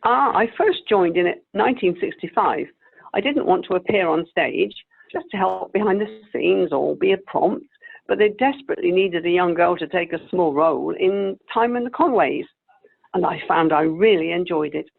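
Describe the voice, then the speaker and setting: A woman playing a wistful, genteel, sweetly vain elderly actress in an amateur radio play, with a creaky voice. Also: fairly low pitch, moderate pace. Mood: nostalgic. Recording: tinny, phone-like video call audio.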